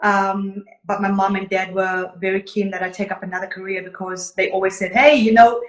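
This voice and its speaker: A woman's voice: medium pitch, flat delivery, brisk. A woman, a guest singer telling her story earnestly in a casual live interview. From Zimbabwe. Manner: warm, earnest storytelling, greets viewers between answers. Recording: echoey room.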